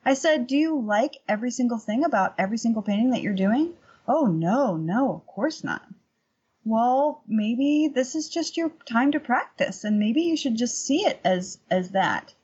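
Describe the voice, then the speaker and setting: A middle-aged woman chatting casually as an interview guest and painting teacher, telling anecdotes in an animated, emphatic way. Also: on the high side, moderate pace, breathy edge, dull audio.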